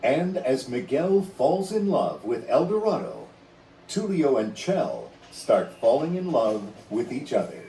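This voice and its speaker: Measured, expressive male storybook narrator. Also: mid-range voice, reverberant, distant mic, slight background noise, low-quality recording. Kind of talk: scripted storybook read-along narration.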